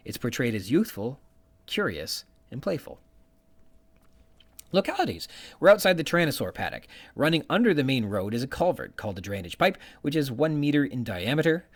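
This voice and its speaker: A man's voice: medium pitch, big pitch swings, brisk. Guy doing a bookish podcast commentary, reads from notes, voice goes up and down a lot. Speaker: podcast host. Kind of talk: literary commentary reading from prepared notes, quoting passages.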